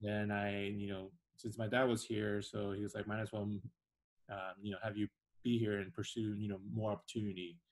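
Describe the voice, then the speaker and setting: Guest, young man, lower-pitched, soft-spoken, loose and rambling. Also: little pitch movement, fairly quick, rough recording. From China. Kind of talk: casual interview.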